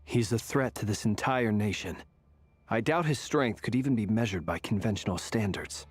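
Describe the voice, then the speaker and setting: A grave fighter in a video game. His voice is low and husky, and his phrasing is formal. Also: moderate pace. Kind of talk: video game character acting.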